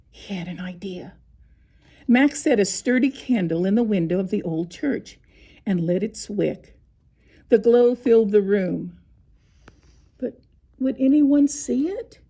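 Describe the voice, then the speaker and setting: Slow, husky woman reading a children's story. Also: mid-range voice, warm tone. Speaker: storybook reader. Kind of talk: scripted children's story narration.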